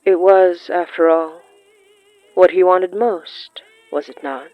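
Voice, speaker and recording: A narrator reading scripted period fiction in a measured, deliberate way with pauses. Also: mid-range voice, level tone, dry recording, lo-fi sound. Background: background music.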